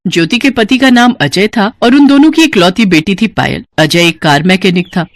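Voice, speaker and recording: A woman tells a moral story as its narrator. Her pitch is medium. The delivery is scripted storytelling. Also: animated, moderate pace.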